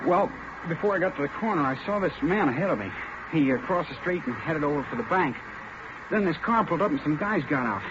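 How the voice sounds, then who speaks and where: A man's voice: a little high, expressive, fast, natural voice. A man playing a young sailor witness, earnest, respectful and slightly hesitant, in old radio drama. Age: young adult. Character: earnest young male Navy sailor, eyewitness. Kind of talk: 1950s radio acting. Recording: dull, noisy vintage radio recording.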